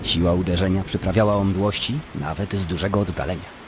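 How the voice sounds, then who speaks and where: A man narrating a scripted story as a dramatic, expressive storyteller in an audio drama. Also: low voice, a little fast, background noise.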